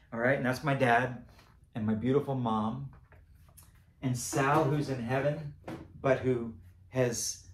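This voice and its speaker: Hoarse male vlogger, rambling and self-correcting, mid-range pitch, in an echoey room with faint music behind. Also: a little hiss or hum. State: losing his voice, cracking. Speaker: creator and seller of animatronic band items. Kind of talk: casual unboxing vlog chat.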